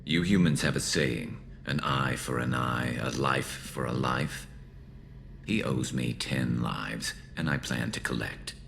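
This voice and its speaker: A haunted, vengeful alien mercenary in a video game. The voice sits extremely low with a heavy gravelly creak and a metallic flanged edge. The delivery is measured, dry and brooding, over faint background ambience. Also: male voice, a bit bright, slight background noise, full character voice. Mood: cold resolve. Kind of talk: video game dialogue acting.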